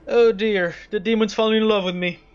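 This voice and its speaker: Higher-pitched young man, slightly muffled audio. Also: steady tone, a little fast, smooth. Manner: wry, reactive. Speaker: gamer playing a horror visual novel. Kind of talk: casual let's play commentary.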